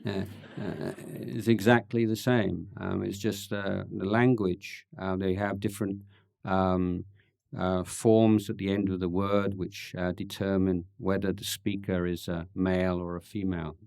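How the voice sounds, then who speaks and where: Monk with a very low voice doing a q&a, lots of ums, dry close sound, bit of dry humor. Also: male voice, little pitch movement, measured pace, gravelly, a little mellow, no echo. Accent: English. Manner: thoughtful, hesitant, many ums and restarts. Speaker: Buddhist monk answering questions. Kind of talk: relaxed Dhamma Q&A talk. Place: meditation retreat hall.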